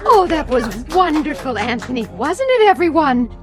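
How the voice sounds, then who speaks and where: An actress playing a gushing small-town neighbor in a radio drama, effusive with forced, fearful flattery. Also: female voice, high-pitched, normal speed, music in the background.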